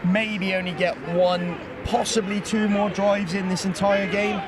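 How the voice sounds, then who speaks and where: A man giving lively, joking play-by-play commentary on a live football game outdoors. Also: high-pitched, unhurried, a crowd in the background. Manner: lively, jokey play-by-play, laughs easily.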